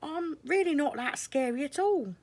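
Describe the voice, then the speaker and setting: A woman's voice: high voice, lively intonation, moderate pace, darkish tone, pitched above the performer's own voice. Woman voicing a drowsy, friendly male storybook caterpillar. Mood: friendly, reassuring. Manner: drowsy, yawning. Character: friendly sleepy male caterpillar turned moth, gentle and kindly. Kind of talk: children's storybook character voice.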